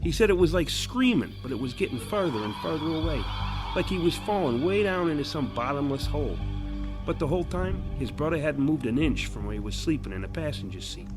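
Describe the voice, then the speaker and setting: An actor playing a crude, sarcastic, shady bus driver who talks in a rambling, colloquial, hesitant way in a horror audio drama. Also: male voice, fairly high pitch, animated, brisk, close to an everyday voice. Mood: wry, eerie storytelling. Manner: colloquial, hesitant drawn-in storytelling, sardonic. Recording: voice over clear background music.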